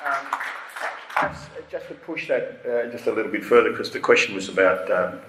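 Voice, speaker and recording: A man chairing a conference debate, brisk and a little jokey, with hesitant fillers. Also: mid-range voice, animated. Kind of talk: moderating audience questions. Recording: echoey hall, faint noise.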